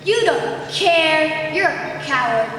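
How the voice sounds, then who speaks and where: A woman's voice: high voice, animated, takes it slowly, a bit bright, heavily acted. A female performer playing a noble young royal from an Indian epic in a school stage play, declaiming earnestly. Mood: defiant, distressed. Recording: echoey noisy gym, far from mic.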